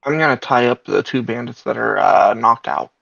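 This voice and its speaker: A man's voice: medium pitch, moderate pace, vocal fry, a bit bright, no room sound. A man playing a tabletop game, roleplaying casually over a dull, lo-fi microphone.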